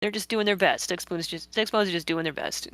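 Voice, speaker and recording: A woman's voice: fairly low pitch, a little fast, a bit bright, no echo. A woman giving casual live commentary as a comic's creator, musing and often giggly.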